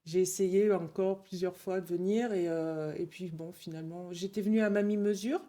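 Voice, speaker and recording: Entrepreneur guest, woman, lowish mellow voice, talks a lot with restarts. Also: fairly even intonation. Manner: chatty, halting with restarts. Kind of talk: casual interview.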